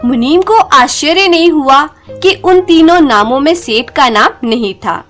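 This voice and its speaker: A woman narrating a scripted folk tale as a storyteller, in an expressive, measured way. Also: high voice, faint music behind.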